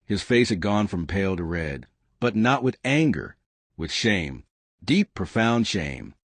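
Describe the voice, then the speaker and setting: A male narrator telling a dramatic story with lively storytelling delivery. His voice sits on the low side and moves widely in pitch, recorded close and completely dry. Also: measured pace, no echo.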